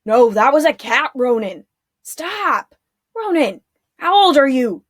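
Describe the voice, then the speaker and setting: Woman putting on grumpy armored guard guys, loud and barky, dry close sound. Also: high-pitched, very animated, slow pace, dry recording, exaggerated voice. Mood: gruff. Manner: loud, barking, demanding. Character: gruff armored male town guards, bossy.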